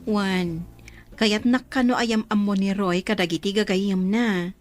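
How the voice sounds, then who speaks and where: A woman's voice: mid-range voice, fairly even intonation, measured pace, faint music behind, close to an everyday voice. A woman playing an agreeable young girlfriend and big sister in a radio drama. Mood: curious, agreeable.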